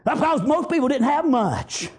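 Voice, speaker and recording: A man with a Southern US accent preaching a fervent revivalist sermon, exhorting loudly and peppering it with praise interjections. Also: extremely high pitch, big pitch swings, moderate pace.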